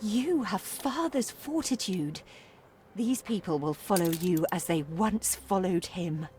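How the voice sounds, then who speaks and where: An actress playing a determined, loyal young gentlewoman who gives advice in a video game, earnest and composed. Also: fairly low pitch, lively intonation, slow pace. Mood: admiring. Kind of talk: video game character acting.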